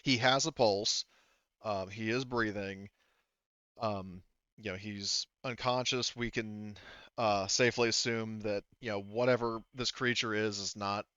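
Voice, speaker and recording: A man narrating a tabletop role-playing game as game master, improvising in a halting, rambling way full of asides. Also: lowish voice, fairly even intonation, sharp tone, clear, resonant tone, no echo, highs cut off.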